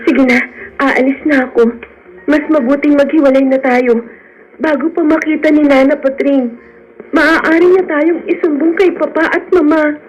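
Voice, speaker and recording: An actress playing a gentle, fearful, sheltered rich young woman in a Filipino radio drama. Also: high voice, steady tone, normal speed, natural voice. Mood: guarded, gentle. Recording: dull lo-fi radio, music behind.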